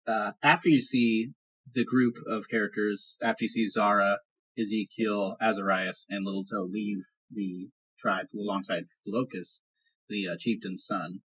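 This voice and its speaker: A man runs a tabletop game as game master, improvising haltingly. His voice is low and husky, on a slightly dull recording. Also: steady tone, a bit bright. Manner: halting, repeats phrases.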